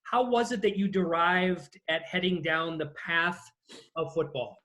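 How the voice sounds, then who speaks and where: A man's voice: fairly high pitch, fairly even intonation, measured pace, bright, forceful tone. An American man hosting a casual interview over a video call, putting questions to his guest in a conversational way. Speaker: interview host.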